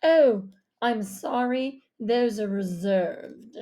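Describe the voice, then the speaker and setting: A woman's voice: medium pitch, steady tone, warm tone, natural voice. A stiff, affected maître d' acted by a woman narrator, snooty and oily. He draws out his words with exaggerated formality, in a storybook style. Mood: snooty, disdainful. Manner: stiff, drawn-out, stammering when flustered. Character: snooty, fawning male restaurant maître d'. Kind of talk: audiobook character voice.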